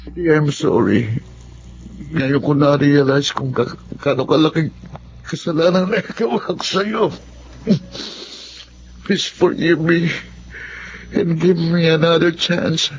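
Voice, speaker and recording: A man's voice: on the high side, takes it slowly, pauses often, dull audio, a little hiss or hum. An actor in a Filipino radio drama playing a remorseful businessman boyfriend who is sick with covid. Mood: weak, pleading.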